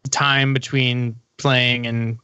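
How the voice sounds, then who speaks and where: A man's voice: medium pitch, little pitch movement, slow, sharp tone, clean tone, dry recording, muffled recording. A man giving his casual, enthusiastic opinion as a podcast panelist.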